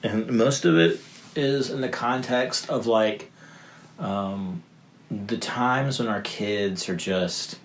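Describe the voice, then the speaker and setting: A man in his thirties with a deep, low voice, speaking as a podcast guest. He rambles loosely, piling up fillers and restarting his sentences. A faint hiss sits under the recording. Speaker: guest, father of three. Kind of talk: casual podcast conversation.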